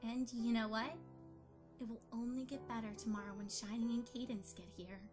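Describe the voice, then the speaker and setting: A woman's voice: fairly high pitch, steady tone, moderate pace, music in the background, natural voice. A woman playing an earnest, bookish young pony mother in an amateur audio drama. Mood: warm, reassuring.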